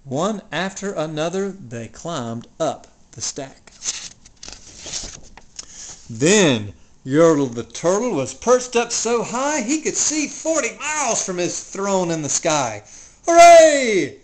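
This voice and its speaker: A storyteller reads rhyming children's verse in a theatrical way. The mid-pitched voice is very animated, rising and falling widely with expressive delivery. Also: slow, a little hiss or hum.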